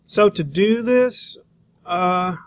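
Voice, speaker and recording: Higher-voiced man giving an informal software tutorial. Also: very slow, no echo. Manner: informal, thinking aloud while working. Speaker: graphic design instructor.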